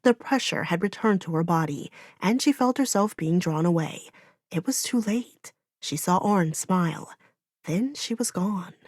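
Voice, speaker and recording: A woman's voice: fairly low pitch, lively intonation, moderate pace, darkish tone, dry recording, clean studio sound. A woman reading a fantasy audiobook as narrator, in dramatic, solemn storytelling.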